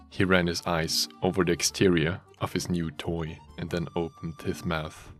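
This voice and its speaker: A man's voice: low-pitched, level tone, unhurried, faint music behind. A man narrating a written story in a group reading, breaking off into casual, sweary banter. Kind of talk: scripted story reading mixed with joking chat.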